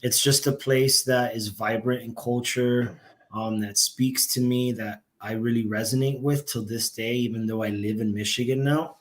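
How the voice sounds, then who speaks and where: A young adult man talking about his band as an interview guest, relaxed and rambling, with many fillers and restarts. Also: medium pitch, normal speed. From Miami, Florida. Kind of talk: casual interview talk.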